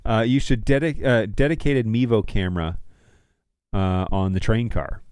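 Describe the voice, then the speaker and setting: A man's voice: lowish voice, normal speed, darkish tone, clear, resonant tone, dry recording. A man in his thirties hosting a casual livestream, chatting to viewers in an easygoing, slangy, jokey way at a close studio mic. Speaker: livestream host. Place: small home studio room indoors. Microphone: close studio mic on a stand.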